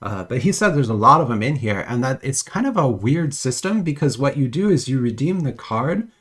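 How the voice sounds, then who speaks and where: A man's voice: mid-range voice, very animated, a little fast, a bit bright, husky. A man with a cold chatting casually while unboxing trading cards at home, rambling with many restarts and little chuckles. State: has a cold, voice a bit off. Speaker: trading card unboxing vlogger.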